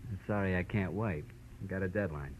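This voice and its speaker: An American actor playing a brash, slippery, impatient newspaper reporter in an old radio crime drama. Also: male voice, low-pitched, fairly quick, close to an everyday voice, low-quality recording. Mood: curt, defiant. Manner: brash, curt, flippant. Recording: old radio recording with faint noise.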